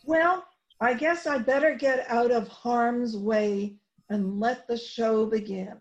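A woman giving a prepared introduction as director and playwright, speaking deliberately, phrase by phrase. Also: on the high side, fairly even intonation, highs cut off.

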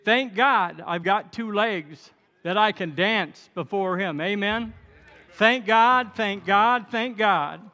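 A man's voice: sits high, slow pace. A man of seventy preaching as a pastor, exhorting the congregation in repeated declarations of thanks and praise. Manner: exhorting, repetitive declarations, breaking into shouted praise. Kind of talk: sermon and altar call. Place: large church hall. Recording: hall reverb with music behind.

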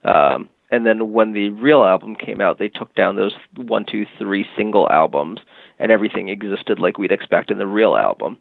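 A man guesting on a podcast, explaining his agency's tools in a measured way with frequent fillers, over a remote line. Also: on the low side, creaky, dry recording. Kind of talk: casual interview talk. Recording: tinny phone-like line.

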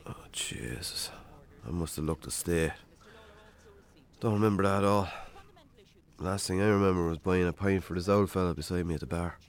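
An actor playing a hungover, defensive Irish farmer in a naturalistic radio drama. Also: male voice, deep voice, moderate pace. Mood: groggy, pained. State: hungover, groaning.

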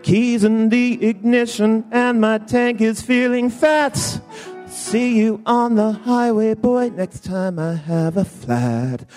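A man with a high voice sings a sly, suggestive cabaret number at a live show. He takes his time, drawing the lines out slowly, with faint accompaniment underneath. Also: a little mellow, faint music behind. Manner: sung, sly and suggestive delivery. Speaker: cabaret singer. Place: live performance venue.

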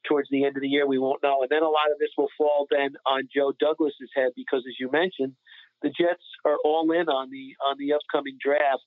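A man's voice: mid-range voice, dry recording. A man co-hosting a sports podcast, talking casually and giving opinions in a conversational way. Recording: thin phone-like audio.

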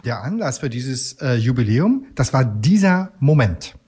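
A man giving informal, explanatory answers as an interview guest, often halting and rephrasing. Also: mid-range voice, big pitch swings, a little mellow, slightly rough. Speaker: radio museum representative, interview guest.

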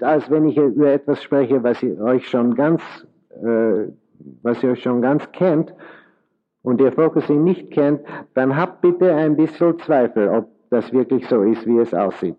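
An older man from Austria with a Viennese accent worn down by decades abroad, slowly and thoughtfully teaching a workshop audience. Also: mid-range voice, narrow, tinny audio. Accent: Viennese German, worn by years in the US. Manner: slow, thoughtful, searching for words. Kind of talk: lecture with audience questions. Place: lecture hall with live audience.

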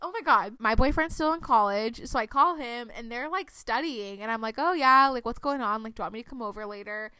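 A woman's voice: fairly high pitch, a little fast, few pauses, pushed and bright, smooth, dry recording. A woman in her twenties chatting casually as a podcast co-host, full of fillers and slightly congested. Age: 20s. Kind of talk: casual chat.

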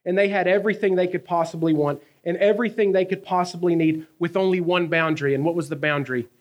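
Animated preacher in his thirties. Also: high voice, fast, dull audio. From American South. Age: 30s. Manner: animated, conversational, asks the crowd questions. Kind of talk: sermon with personal storytelling.

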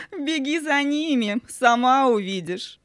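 A woman's voice: high voice, dry recording, studio quality, exaggerated voice. Imperious, wrathful spirit of Night played by a woman. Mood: mocking. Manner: solemn, menacing, grandly reproachful. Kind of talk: audio play for children.

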